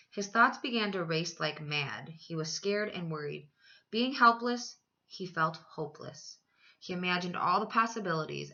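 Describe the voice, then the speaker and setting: A woman teacher reading a children's storybook aloud with expressive, emphatic phrasing. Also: medium pitch, gravelly, lo-fi sound.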